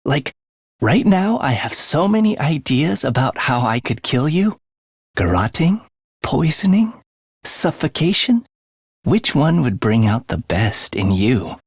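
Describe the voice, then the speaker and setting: A man's voice: medium pitch, sing-song, unhurried, no echo, clean studio sound, exaggerated voice. An actor playing a playful, morbid young male assassin in a video game, with breezy, teasing speech that turns theatrical. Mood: dreamy, bloodthirsty. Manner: breezy, teasing slang turning breathy and theatrical. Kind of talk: English video game voice acting.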